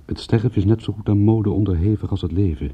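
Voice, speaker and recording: A man playing a cynical private detective who narrates his case in a deadpan, sardonic way, as in a radio play. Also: lowish voice, fast, slightly rough. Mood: grim, sardonic. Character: world-weary, cynical male private detective recounting a case. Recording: muffled old radio-play recording.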